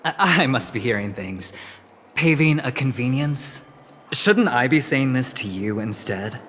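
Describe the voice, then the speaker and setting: A sly, teasing young gambler. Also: male voice, mid-range voice, lively intonation, unhurried, fairly bright tone, slight background noise. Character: smooth, scheming young male gambler-businessman. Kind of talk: video game voice acting. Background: game music.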